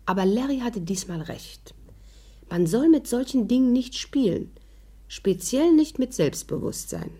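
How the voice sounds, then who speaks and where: A woman playing a wry, brisk storyteller recounting her own adventures in a 1950s German radio drama, with a dryly amused manner. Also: a little high, sing-song, mellow, breathy edge, full character voice.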